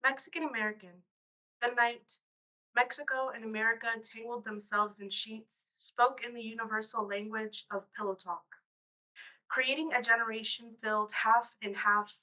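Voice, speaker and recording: A woman reading her own poem at a library event, with deliberate, emphatic, rhythmic delivery. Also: a little high, sharp tone, rough recording. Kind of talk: live poetry reading.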